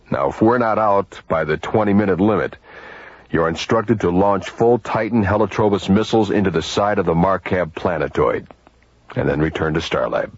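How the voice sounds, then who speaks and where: An actor playing a composed, seasoned space captain with informal military authority in a radio drama. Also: male voice, extremely low pitch, slightly rough, no room sound, full character voice. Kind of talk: naturalistic sci-fi radio drama acting. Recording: dull vintage radio recording, loud music bed.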